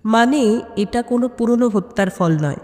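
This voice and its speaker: A woman voicing a calm, sharp young female detective in an audio story, measured and confident in her reasoning. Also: fairly high pitch, long reverb tail, close to an everyday voice. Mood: calm, probing.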